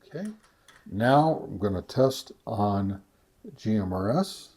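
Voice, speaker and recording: Man giving a halting hands-on gear test. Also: fairly low pitch, lively intonation, a little mellow. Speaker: host of a ham radio gear review channel. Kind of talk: casual product test narration.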